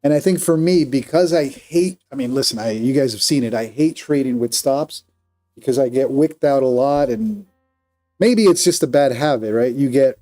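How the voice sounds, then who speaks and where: A man's voice: mid-range voice, normal speed, dark tone, soft background music. A man from Colombia with a Colombian accent, commenting on his trades during a live stream and answering viewer chat in a candid, self-critical way.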